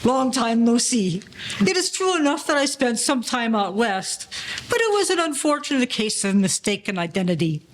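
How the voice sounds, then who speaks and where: A man playing a good-natured crooked gangster of about fifty with a high voice, in a 1940s-style audio play. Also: very animated, normal speed, a bit bright, full character voice. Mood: affable, sly. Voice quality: high-pitched. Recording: noisy recording with clicks.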